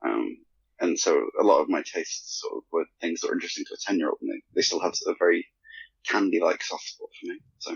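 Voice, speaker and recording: A man in a casual podcast discussion, speaking reflectively and haltingly, with a self-deprecating streak. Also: low-pitched, lively intonation, vocal fry, breathy edge, no room sound, highs cut off. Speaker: podcast guest, fan fiction author.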